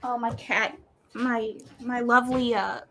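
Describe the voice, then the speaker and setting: A woman's voice: on the high side, steady tone, a little slow, pushed and bright, a little hiss or hum. Woman chatting casually about books. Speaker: book readalong live show co-host. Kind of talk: casual book chat.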